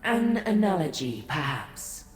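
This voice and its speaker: An actress playing an ancient, aloof godlike being in a fantasy game, speaking formally and with haughty, cryptic condescension. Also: female voice, on the low side, sing-song, faint music behind. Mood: aloof, intrigued. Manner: measured, formal, condescending to mortals. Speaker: video game character. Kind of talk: scripted fantasy game dialogue. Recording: echoey, long reverb.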